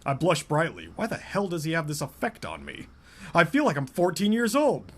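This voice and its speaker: A man doing a mocking, deadpan reading of a romance novel, often breaking off into laughter and asides. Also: on the high side, normal speed. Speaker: podcast co-host reading a novel aloud.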